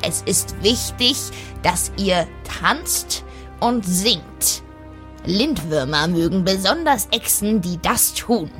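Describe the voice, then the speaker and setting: Woman acting as dwarf aunt, calm schemer, expressive melody, measured delivery, fantasy audio play, music underneath. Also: medium pitch. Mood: measured, knowing. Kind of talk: improvised fantasy audio drama role-play.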